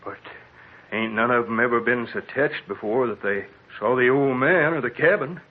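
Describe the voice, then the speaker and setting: A man's voice: mid-range voice, moderate pace, lightly acted. An actor playing a skeptical male townsman who speaks in a folksy drawl in a 1940s radio drama. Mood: wry. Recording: tinny old radio broadcast.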